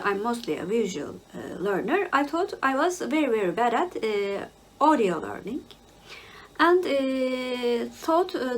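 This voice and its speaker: A middle-aged woman from Turkey with a Turkish accent, hosting her book channel and talking in a halting way, with many fillers between phrases. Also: on the high side, a little hiss or hum, rough recording. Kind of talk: casual monologue to camera.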